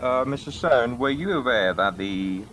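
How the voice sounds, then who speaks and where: A man's voice: mid-range voice, animated, normal speed, fairly bright tone, a little hiss or hum. A man playing a defense lawyer, questioning a witness formally with frequent uhs. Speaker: defense lawyer in a role-play court. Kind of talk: courtroom cross-examination.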